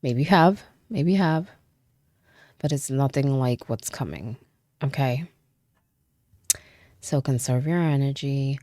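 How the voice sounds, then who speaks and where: A woman giving a casual tarot reading, chatty, with frequent fillers, trailing pauses and light laughs, and sounding stuffy from a cold. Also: very deep voice, mellow, dry recording, studio quality. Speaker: tarot reader.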